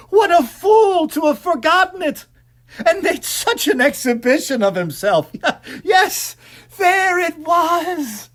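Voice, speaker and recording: A man narrating a ghost story in an intense, theatrical way, swinging between hushed and shouted delivery. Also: very high voice. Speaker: audiobook narrator.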